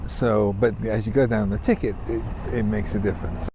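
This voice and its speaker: A man in his fifties, from Scotland, answering interview questions with many pauses and fillers. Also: lowish voice, fairly even intonation, background noise. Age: 50s. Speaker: first-time voter interviewee.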